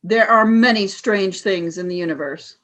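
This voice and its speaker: An imperious female alien commander, acted by a woman in a radio drama. She speaks deliberately and with scorn, cold and cruel, used to being obeyed. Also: medium pitch, a bit bright, natural voice. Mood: stern, scornful. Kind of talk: audio drama radio play acting.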